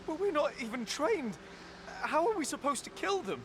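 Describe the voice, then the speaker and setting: A man's voice: extremely high pitch, big pitch swings, normal speed, noisy background. An actor playing an anxious, overwhelmed male soldier in a video game. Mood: frightened.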